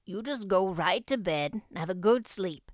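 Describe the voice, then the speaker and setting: A woman's voice: medium pitch, expressive, moderate pace, dry recording, studio quality, lightly acted. A woman voicing a kindly, plain-spoken older farm man in an audiobook, with a mild, homely manner. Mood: kindly reassurance. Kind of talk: audiobook character voice.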